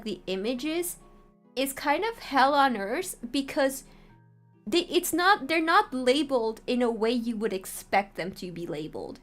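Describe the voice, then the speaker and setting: A woman talking informally as a streamer teaching a tutorial, chatty and often checking in with her listeners. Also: fairly high pitch. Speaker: streamer teaching how to make visual novels. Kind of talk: casual livestream tutorial talk.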